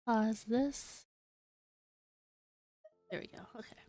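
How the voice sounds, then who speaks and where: A woman's voice: on the high side, fairly even intonation, takes it slowly, mellow. A woman streaming a video game, chatting casually and reading the game text aloud. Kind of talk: casual gameplay commentary.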